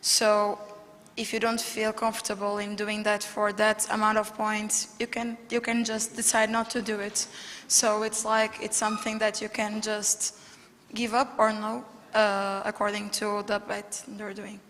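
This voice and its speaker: Halting woman presenting in a hall. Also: medium pitch, monotone, fairly bright tone. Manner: halting, many fillers. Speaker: student presenter. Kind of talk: conference group presentation. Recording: hall reverb, faint room noise.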